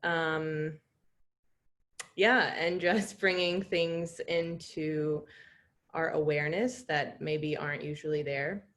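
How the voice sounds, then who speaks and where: A woman from the United States, an intercultural educator, answering interview questions thoughtfully with many pauses. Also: deep voice, fairly even intonation. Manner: thoughtful, many "um"s, soft laughs.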